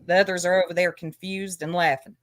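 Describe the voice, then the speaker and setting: A woman's voice: on the low side, level tone, a little fast, no room sound. A woman hosting a casual panel chat, mocking and taunting someone with frequent laughter. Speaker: host.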